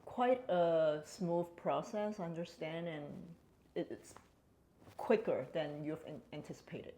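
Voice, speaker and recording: A woman with a very low voice interviews a client in an office. She asks encouraging questions and often repeats words as she goes. Faint noise sits behind her. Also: measured pace, dark tone. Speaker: interviewer for consultancy. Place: consulting firm office.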